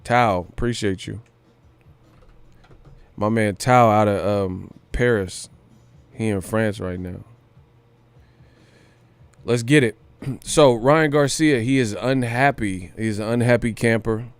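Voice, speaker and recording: Low-voiced man hosting a slangy boxing livestream. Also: slow pace, pauses often, smooth, no echo. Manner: slangy, hyped, swearing, repeats phrases for emphasis. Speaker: boxing news livestream host. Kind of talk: casual live-stream commentary.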